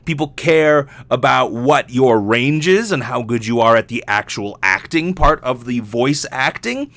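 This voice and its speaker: A man's voice: mid-range voice, animated, unhurried, fairly bright tone, dry recording. A young adult man talking casually and candidly as a solo podcast host, rambling and swearing freely.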